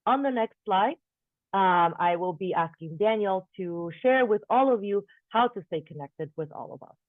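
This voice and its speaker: A woman's voice: medium pitch, animated, moderate pace, no echo. A woman hosting an online webinar, giving friendly reminders and often restarting her sentences. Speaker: webinar host. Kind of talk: presenting announcements on a video call. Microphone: video call. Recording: dry, tinny phone-like audio.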